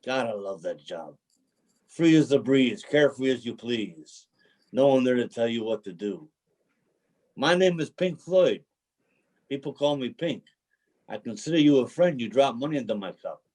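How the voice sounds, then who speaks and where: A man's voice: medium pitch, animated, moderate pace, long pauses, a little mellow, close to an everyday voice. A man playing a homeless drifter in a play reading, a cynical, bruised romantic who tells his story in a folksy, dramatic way. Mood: wistful, reminiscing. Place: remote video call reading.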